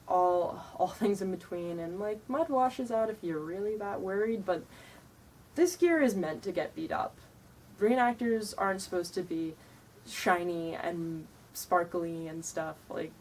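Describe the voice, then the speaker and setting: A young woman with a lowish, deeper voice talks straight to camera, blunt and casual, padding her points with ums and likes. She sounds tired. The mic sits a little away from her, with a faint hiss of noise behind. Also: darkish tone, recorded from a distance, rough recording. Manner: frank, blunt, chatty with many ums and likes. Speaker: vlogger giving reenactor tips. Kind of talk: casual advice talk to camera.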